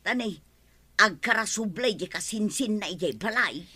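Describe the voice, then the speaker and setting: An actress with an Ilocano accent playing a gossipy village woman in a radio comedy drama, chatty and animated. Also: medium pitch, normal speed, slightly rough. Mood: scheming. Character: gossipy village woman fretting over unpaid money.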